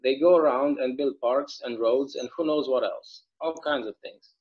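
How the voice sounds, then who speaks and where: A folksy small-town bartender played by a young man at a table read, chatty and given to rambling storytelling. His pitch sits mid-range for a man. The sound is a slightly dull, lo-fi video call. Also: normal speed, natural voice. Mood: reminiscing. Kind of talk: online table read of a stage play. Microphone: video call microphone.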